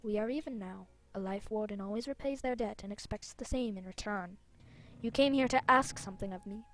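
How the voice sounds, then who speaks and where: A woman's voice: medium pitch, a little fast, fairly bright tone, lightly acted. A woman voicing a proud, impatient ancient female tree spirit in a game, speaking in a grand, formal manner. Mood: grudging.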